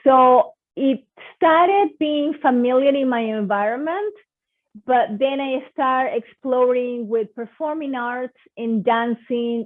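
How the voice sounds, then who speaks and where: Enthusiastic Venezuelan woman on a tinny line. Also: on the high side. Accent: Venezuelan Spanish. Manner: enthusiastic, expressive. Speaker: guest performer. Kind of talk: casual online presentation. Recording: tinny phone-like audio.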